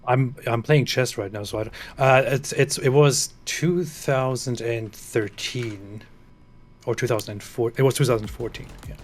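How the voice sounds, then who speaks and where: A man in his thirties calling into a stream, halting and hesitant with dry jokes. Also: medium pitch, fairly even intonation, slight background noise. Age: 30s. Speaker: guest caller. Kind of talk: casual voice chat.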